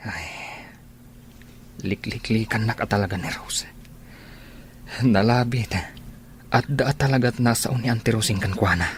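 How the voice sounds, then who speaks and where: Actor as sincere romantic male lead for radio drama: low, gentle, persistent, faint background noise. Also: measured pace, pauses often, natural voice. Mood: hurt, pleading. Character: earnest, lovelorn man Ferdinand, gentle and persistent.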